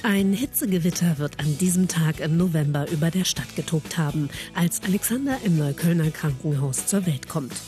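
A woman narrating a scripted radio profile in an even, composed way. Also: low-pitched.

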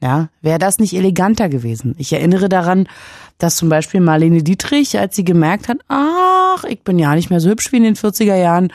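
A woman's voice: on the low side, very animated, a little fast, dry recording, clean studio sound. A woman hosting a call-in radio show, chatting playfully with lots of fillers and hesitations. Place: radio studio. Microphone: studio microphone.

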